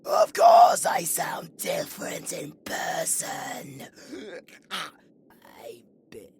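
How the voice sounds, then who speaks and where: A man's voice: a little high, huge pitch swings, vocal fry, roomy sound, soft background music, exaggerated voice. A British man playing a sardonic, flirtatious undead necromancer villain in a theatrical, intimate audio roleplay. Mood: indignant, strained. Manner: theatrical, sarcastic, swearing, drawn-out delivery. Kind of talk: intimate audio roleplay acting, addressed to the listener.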